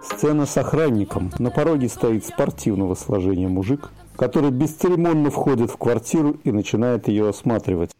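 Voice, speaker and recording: A male narrator with a mellow, mid-pitched voice reads scene descriptions for an audio drama. Faint music plays underneath. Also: animated, brisk, hardly any pauses, poor audio. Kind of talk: scripted narration of an audio play.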